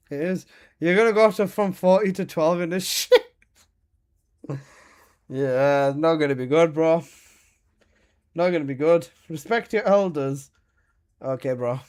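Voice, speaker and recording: A man in his early twenties chats live with his stream audience while he plays. His talk is casual, teasing and full of swearing and quick laughs. His voice sits slightly on the high side for a man. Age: 20s. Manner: joking, swearing banter with frequent laughs. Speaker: game streamer.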